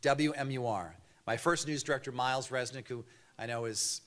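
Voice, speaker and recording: A man in his fifties with a mild Boston accent, a TV news anchor giving a prepared award acceptance speech at a podium, earnest and measured with light storytelling humor. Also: medium pitch, fairly bright tone. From Boston area, USA. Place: banquet hall podium. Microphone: podium microphone.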